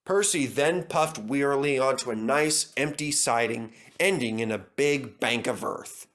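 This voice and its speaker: A man reading a children's story as scripted narration, expressive and dramatic. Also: medium pitch, unhurried.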